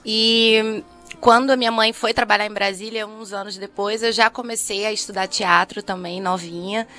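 A woman's voice: medium pitch, steady tone, normal speed. A woman from Alagoas, a singer-songwriter, answering reflectively as a guest in a live radio studio interview. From Alagoas, Brazil. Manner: warm, reflective, speaks of her roots. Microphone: studio microphone.

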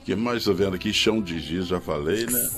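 A man's voice: low voice, brisk. A man co-hosting a live radio talk show in a studio, enthusiastically reading aloud and listing songs. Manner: enthusiastic, reads aloud and lists song titles. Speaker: radio co-host. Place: radio studio with faint music behind.

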